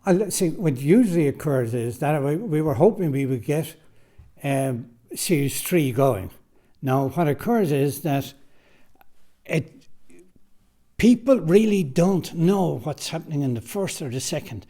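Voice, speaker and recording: A man's voice: a little high, expressive, a little slow, dark tone. A man, a radio drama director, answering interview questions earnestly in a recording studio.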